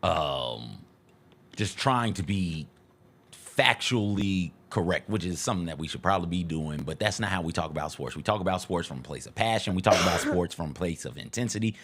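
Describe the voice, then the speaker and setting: A man's voice: deep voice, expressive, brisk, fairly bright tone. A man co-hosting a casual sports talk show, talking in combative, slangy banter with mock rants and swearing.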